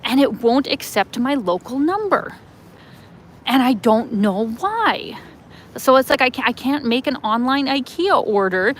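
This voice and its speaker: A woman's voice: high-pitched, expressive, measured pace, a bit bright, slight background noise. Chatty Canadian woman on a street walk. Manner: chatty, rambling, many fillers and laughs. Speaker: travel vlogger. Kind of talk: live walking-tour chat. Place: outdoors on city streets.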